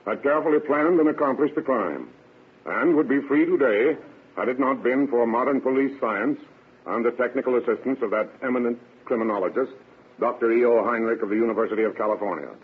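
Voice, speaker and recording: A man's voice: mid-range voice, fairly quick, slightly rough. A man speaking as a sheriff, giving a stiff, formal scripted radio address to introduce a case. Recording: muffled, phone-like vintage radio broadcast.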